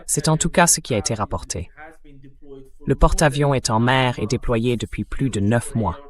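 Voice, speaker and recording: A man's voice: medium pitch, animated, normal speed. A man voicing a translated interview as the host, laying out news reports and putting questions.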